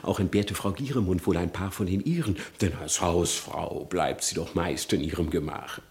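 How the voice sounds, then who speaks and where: A man's voice: sits low, normal speed, a little mellow. An actor playing a sly, hypocritical male fox who wheedles and mocks. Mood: wheedling. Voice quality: wheedling, mocking. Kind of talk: classic radio play acting.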